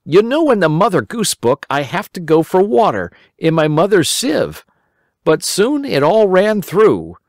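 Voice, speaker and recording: A simple, round-faced young boy with a high, pleading, whiny voice that swings up and down, as a man puts him on. He frets easily and sounds hungry and helpless. The recording is dry and close. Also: wildly swooping pitch, measured pace, dry recording, exaggerated voice. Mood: fretful.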